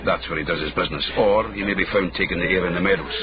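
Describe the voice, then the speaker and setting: An actor playing a dry, shrewd Scottish investigator of the eighteenth century in a radio drama. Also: male voice, low voice, rapid-fire, natural voice. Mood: firm, dry. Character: dry, shrewd caddy turned investigator in 1790s Edinburgh. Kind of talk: period radio drama acting. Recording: muffled radio drama, loud background noise.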